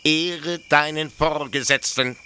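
An actor playing a proud, defensive young officer-judge in a radio play, explaining pedantically with hesitant, stammered pauses. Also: male voice, a little high, steady tone, bright, forceful tone, a little hiss or hum, exaggerated voice. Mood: matter-of-fact, cold. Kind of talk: German literary radio play acting.

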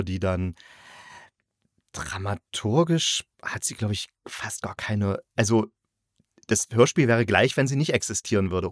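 Male review-show host, lowish register, conversational and colloquial, tight dry sound. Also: no room sound. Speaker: podcast host interviewing a guest reviewer. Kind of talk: casual review chat about an audio drama.

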